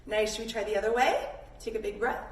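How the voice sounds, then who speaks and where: A woman with a high voice guides children through yoga in a playful way. She encourages them and talks to them directly as friends. The sound is roomy and a little distant, with faint noise behind her. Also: fast. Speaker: kids yoga instructor.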